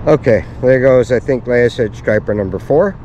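A middle-aged man casually narrating his fishing in a low-key way, as if thinking aloud. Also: moderate pace, highs cut off. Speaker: angler narrating own fishing outing. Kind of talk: casual vlog commentary. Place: open fishing pier outdoors. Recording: outdoor camera with loud ambient noise.

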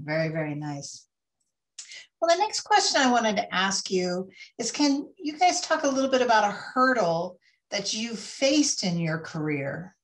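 Encouraging woman moderating a panel. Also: medium pitch. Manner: encouraging, sums up the speakers' points.

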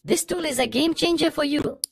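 A woman's voice: sits high, fairly quick, vocal fry, in a large hall, a little hiss or hum. A woman's voice made with an AI voice changer, reading scripted tutorial narration.

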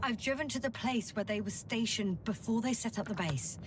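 Actress for female game scientist: resolute, measured, pragmatic, distant mic, loud engine rumble behind. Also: lightly acted. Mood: pensive, resolute. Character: determined, pragmatic woman scientist on a planetary mission. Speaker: crew scientist, a doctor, in a sci-fi game. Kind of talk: naturalistic video game dialogue.

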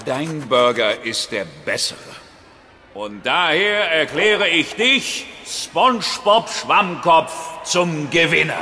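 A man's voice: on the high side, animated, slow, bright, forceful tone, a little hiss or hum, exaggerated voice. An actor playing a pompous, arrogant male sea god in a cartoon radio play, declaiming grandly and theatrically. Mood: haughty.

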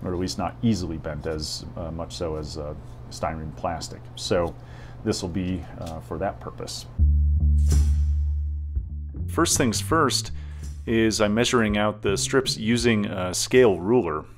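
A man hosting a hobby workbench video, narrating in a relaxed, thinking-aloud way with frequent "uh" fillers. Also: sits low, a little slow, music in the background. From United States. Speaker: model railroad hobby host. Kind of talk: relaxed tutorial narration over workbench footage. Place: home workbench.

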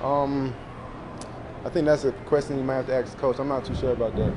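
Young man, quick, low-key press answers. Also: fairly even intonation, background noise. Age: young adult. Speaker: college football player. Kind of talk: post-game press conference answers. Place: press room in front of a sponsor backdrop. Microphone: table mics in front of him.

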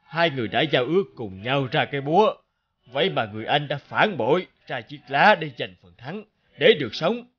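A man playing a huge horned demon lord in an animated folk tale, commanding, deliberate and threatening. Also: a little high, slightly rough, muffled recording. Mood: scornful, pronouncing judgment.

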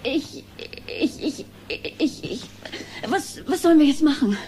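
A fretful mother played by a woman in a radio play, with a high voice. She rambles, stammers and interrupts herself as she worries and objects. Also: expressive, brisk, darkish tone, a little hiss or hum. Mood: agitated. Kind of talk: German audio drama acting.

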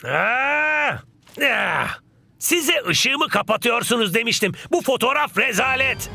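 A man voicing a fussy, vain, excitable young footballer in a cartoon dub, with drawn-out exclaiming delivery. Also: very high-pitched, gravelly, soft background music, heavily acted. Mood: peeved. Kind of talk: animated cartoon dub acting.

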